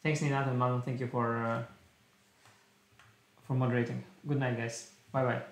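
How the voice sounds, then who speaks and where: A man's voice: medium pitch, level tone, normal speed, roomy sound. A young man with a Russian-tinged English accent, chatting casually on a live stream and thinking aloud in short clipped phrases. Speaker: chess streamer. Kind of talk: casual live-stream chat while solving puzzles. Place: small room indoors.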